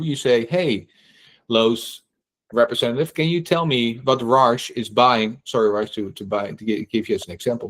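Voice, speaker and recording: A middle-aged man with a Dutch accent talks through real estate questions in a lively, chatty way. He acts out little quotes and keeps checking in with "right?", restarting his sentences as he goes. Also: medium pitch. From Netherlands. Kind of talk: casual Q&A talk.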